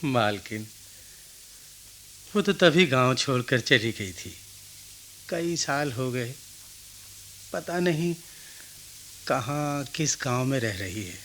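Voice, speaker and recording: A man's voice: mid-range voice, animated, slow, pauses often, fairly bright tone, slight background noise. A man playing a humble, wistful village labourer in a Hindi radio play, speaking hesitantly and deferentially.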